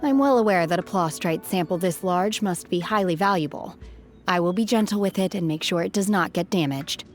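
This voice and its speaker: An actress plays an aloof young woman from a fantasy game. Her voice sits on the low side, composed and measured, with formal, detached phrasing. Soft music plays underneath. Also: natural voice. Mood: calm reassurance. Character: aloof, calm young woman raised apart from mortals. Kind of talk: restrained game voice acting.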